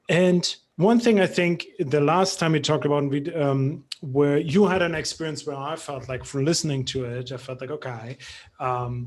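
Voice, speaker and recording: A man hosting a panel discussion, asking questions casually and thinking aloud with fillers. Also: mid-range voice.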